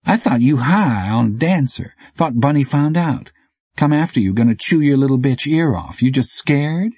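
A man's voice: medium pitch, animated, moderate pace, a little mellow, breathy edge, no room sound, dull audio, pitched above the performer's own voice. A man voicing a brash, teasing bike courier with slangy, clipped, needling talk in an audiobook. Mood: probing. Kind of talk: audiobook character dialogue.

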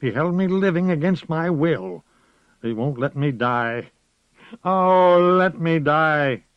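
A man's voice: a little high, expressive, slow pace, clear, resonant tone, no room sound, lo-fi sound, voice pushed up. A man voicing a frightened, cursed old witch woman who pleads in a quavering voice. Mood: pleading, frightened.

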